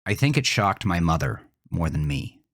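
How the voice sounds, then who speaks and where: A man reading a scripted first-person horror story in a measured, deliberate, dramatic way. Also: low-pitched, very animated, no echo, studio quality. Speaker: narrator of a horror fiction podcast.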